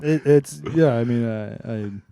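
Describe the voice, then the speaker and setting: A man with a mellow, mid-pitched voice trades casual comic banter as a co-host. His delivery is deadpan and terse. The recording is close and dry. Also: a little slow, creaky, clear, resonant tone, no echo. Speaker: podcast co-host.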